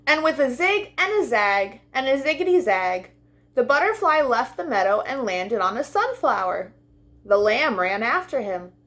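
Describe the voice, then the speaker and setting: A woman reading a children's storybook aloud as a storytime host, with expressive, deliberate delivery for young listeners. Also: a little high.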